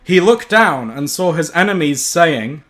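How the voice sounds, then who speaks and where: A man's voice: on the high side, animated, unhurried, a bit bright. A man hosting a casual group story reading, giggly and playful as he narrates and banters.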